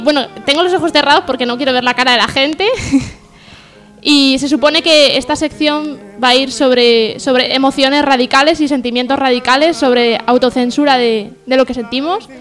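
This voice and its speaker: A woman with a high voice presents her radio section informally. She is earnest and hesitant, often putting herself down, with faint music behind her. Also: a bit bright. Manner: hesitant, self-deprecating, earnest. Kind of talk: informal spoken radio monologue.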